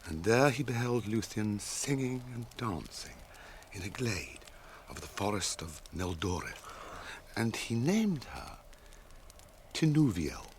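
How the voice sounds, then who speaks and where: A watchful wilderness ranger with a British accent for a radio play. His grave delivery swings expressively in a low register, measured like a storyteller's. Faint ambience sits behind him. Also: sing-song, a little hiss or hum, full character voice. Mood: solemn, reverent. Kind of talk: radio drama acting.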